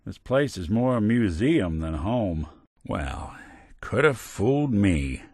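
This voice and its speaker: A man's voice: lowish voice, sing-song, slow, a little mellow, slightly rough, no echo, exaggerated voice. A man playing a world-weary, middle-aged android detective in a video game, with an American accent and a wry, hard-boiled way of talking. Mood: wry, musing. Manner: wry, dry, old-fashioned hard-boiled slang. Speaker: companion character in a video game.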